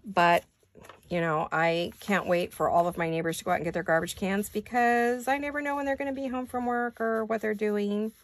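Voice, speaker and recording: An older woman with a raspy voice chatting casually in her home craft studio, rambling and thinking aloud as she works. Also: medium pitch, fairly even intonation, normal speed, dry recording. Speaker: craft vlogger. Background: neighborhood garbage-day banging.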